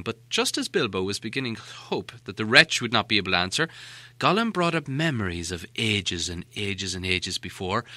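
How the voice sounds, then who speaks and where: A man reading a storybook aloud as an expressive audiobook narrator. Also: on the low side, dry recording.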